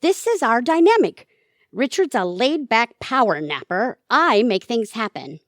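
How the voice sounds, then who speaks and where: An actress plays a domineering middle-aged wife in a radio play. Her voice is high and swings widely in pitch, scornful and dramatic, in a dry, close recording. She speaks like someone used to giving the orders. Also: very animated, unhurried, no echo. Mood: rattled, pleading. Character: domineering, sharp-tongued wife and mother of grown children. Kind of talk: audio drama acting.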